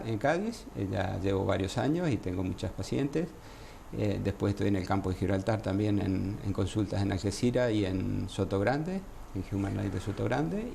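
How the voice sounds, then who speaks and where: A man with an Argentine accent, a gynecologist guest on a radio interview by phone, speaking in a measured way with many fillers. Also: fairly low pitch, gravelly, warm tone, a little hiss or hum. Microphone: phone line.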